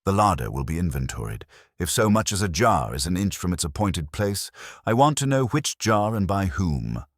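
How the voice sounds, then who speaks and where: A man's voice: low-pitched, sing-song, no room sound, clean studio sound, pitched above the performer's own voice. A man voicing an imperious, unruffled older master detective who speaks formally and with command in a detective story. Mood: stern, exacting. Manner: formal, commanding, deliberate wording.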